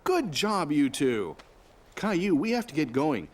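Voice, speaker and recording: A man's voice: fairly high pitch, big pitch swings, measured pace. A man playing a friendly dad hurrying his family along in a children's cartoon. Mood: friendly, hurried. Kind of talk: scripted children's cartoon acting. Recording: slightly distant, faint music behind.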